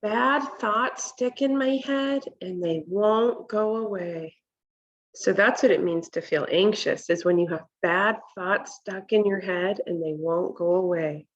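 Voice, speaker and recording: A woman in her thirties reading a picture book aloud to children, deliberate and instructional, pausing to explain and ask questions. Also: mid-range voice, muffled recording, slight background noise. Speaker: read-aloud presenter for children. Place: home kitchen, indoors.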